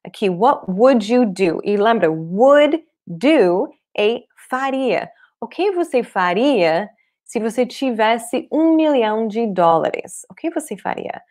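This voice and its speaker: A woman in her thirties, a native English speaker with a foreign accent in Portuguese, teaching an online language lesson in a clear, deliberate way. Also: on the high side, lively intonation, a little mellow. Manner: clear, deliberate explaining with repeated examples. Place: small room indoors, at a desk.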